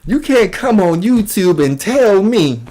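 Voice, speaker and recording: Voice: medium pitch, big pitch swings, unhurried, dark tone. An online commentator delivering a mocking call-out, emphatic and incredulous, often repeating phrases for effect. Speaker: YouTube commentator.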